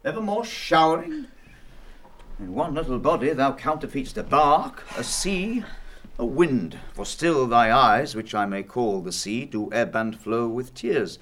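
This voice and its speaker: A man's voice: mid-range voice, lively intonation, unhurried. An actor playing a tyrannical, hot-tempered father and lord of the house in a declamatory Shakespearean style. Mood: mock tender, brooding. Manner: declamatory, swinging from hushed menace to furious shouting. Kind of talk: Shakespearean stage drama acting.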